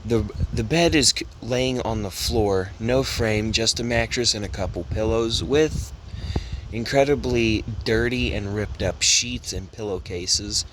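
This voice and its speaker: A man narrating an amateur horror story in a measured storytelling manner, with slight stumbles. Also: on the low side, a bit bright, slight background noise, rough recording. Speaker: narrator and voice actor of a fan horror dub.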